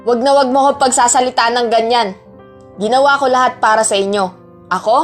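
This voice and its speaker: A woman's voice: a little high, fairly even intonation, a little fast, faint music behind, close to an everyday voice. Woman acting as a stern, wounded Filipino mother. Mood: furious. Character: hurt, scolding Filipino mother of three. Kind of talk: amateur Tagalog radio drama acting. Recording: background soundtrack under the voice.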